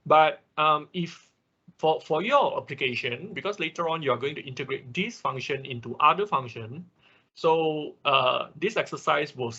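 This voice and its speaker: Male instructor, bright forceful tone, husky, informal step-by-step technical tutorial, repetitive check-ins, slightly dull online audio. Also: medium pitch. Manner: repeats words, checks in with "right?" and "okay?". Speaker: instructor of an online robotics programming class. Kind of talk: informal live technical tutorial, explaining code. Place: live online classroom call.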